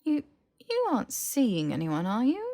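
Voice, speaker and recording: Woman voicing Hermione, a brisk bookish young woman. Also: fairly high pitch, huge pitch swings, warm tone, pitched above the performer's own voice, full character voice. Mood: sceptical, probing. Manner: precise, explanatory, questioning. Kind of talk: audiobook character dialogue.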